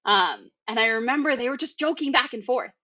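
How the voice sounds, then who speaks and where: A woman's voice: fairly high pitch, fairly quick, pushed and bright, no echo. A young woman from the Boston area with a Boston accent, chatting casually and laughing often. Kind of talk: casual podcast chat.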